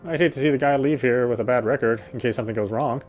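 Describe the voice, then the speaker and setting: An actor playing a guarded, dry-witted young man who cares quietly, speaking his inner thoughts and dialogue in a wry, introspective way. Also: medium pitch, quick pace, soft background music, close to an everyday voice. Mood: reflective. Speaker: game protagonist. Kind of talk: first-person inner monologue and dialogue.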